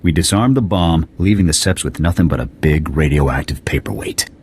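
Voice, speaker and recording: An actor playing a stern, gruff military commander in a video game, giving authoritative, clipped briefing orders. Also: male voice, extremely low pitch, lively intonation, moderate pace, a little mellow, slight background noise. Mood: stern, grave.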